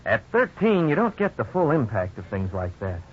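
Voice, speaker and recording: An actor playing a worried young husband, frank and resigned, in a 1950s radio drama. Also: male voice, mid-range voice, huge pitch swings, moderate pace. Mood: rueful. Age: young adult. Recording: dull, hissy 1950s radio recording.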